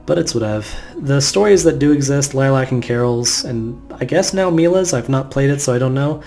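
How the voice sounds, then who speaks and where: A man's voice: mid-range voice, lively intonation, brisk, vocal fry, darkish tone. Offhand male gamer commentary with faint music. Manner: rambling, offhand, casual swearing. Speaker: gamer narrating a playthrough. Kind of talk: casual let's play commentary.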